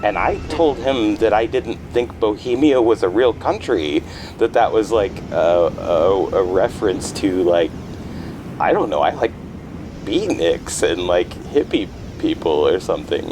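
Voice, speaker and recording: A man's voice: lowish voice, animated, measured pace, background noise. A man playing a curious, puzzled ordinary guy in a naturalistic fiction podcast, casually searching for words. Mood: reflective.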